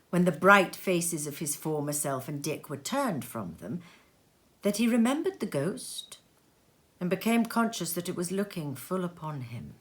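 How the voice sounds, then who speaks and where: A woman reading a classic story aloud as expressive narration, with dramatic emphasis and deliberate pauses. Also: medium pitch. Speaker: storybook reader. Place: sitting room.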